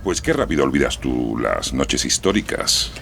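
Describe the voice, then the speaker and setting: Very deep, gravelly police interrogator. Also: male voice, sing-song, normal speed, fairly bright tone, a little hiss or hum, heavily acted. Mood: sardonic, pressing. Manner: sarcastic, pressing. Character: sardonic, relentless male police sub-inspector interrogating a suspect. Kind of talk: dramatized true-crime podcast acting.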